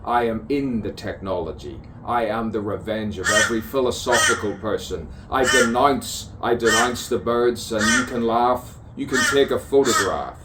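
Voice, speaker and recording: A man's voice: lowish voice, moderate pace, few pauses. A man improvising spoken-word poetry in a musing, theatrical stream of consciousness, repeating phrases and playing with rhymes. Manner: musing, repeats phrases insistently, rhyming wordplay. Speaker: poet improvising a spoken soliloquy. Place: outdoors on an avenue. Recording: distant mic, clear outdoor background noise. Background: crows and birds cawing.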